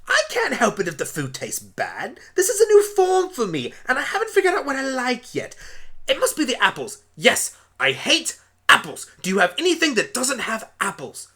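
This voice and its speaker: A woman's voice: on the high side, wildly swooping pitch, moderate pace, fairly bright tone, husky, full character voice. A woman voicing an eccentric male alien doctor in a pony's body, quizzical, pedantic and blunt, in an amateur radio play. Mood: disgusted, blunt.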